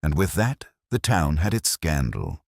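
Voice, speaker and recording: Very deep male storyteller, lively intonation. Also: measured pace, vocal fry, dry recording, studio quality. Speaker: narrator. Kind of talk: scripted narration of a mystery story.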